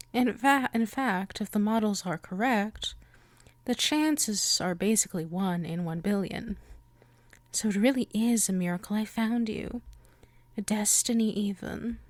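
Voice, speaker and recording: A woman playing a possessive, hungry vampire in an ASMR roleplay, slipping between soft talk and breathy whispers, coaxing and menacing. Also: medium pitch, no room sound, natural voice. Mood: gloating, satisfied. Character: possessive, hungry female yandere vampire, powerful and obsessive. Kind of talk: ASMR roleplay audio drama.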